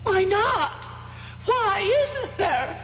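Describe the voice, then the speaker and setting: A woman's voice: extremely high pitch, sing-song, very slow, a bit bright, full character voice. An actress playing a frightened, loving young woman in an old-time radio drama, with a pleading, emotional manner. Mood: desperate. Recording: echoing, dull, hissy vintage radio recording.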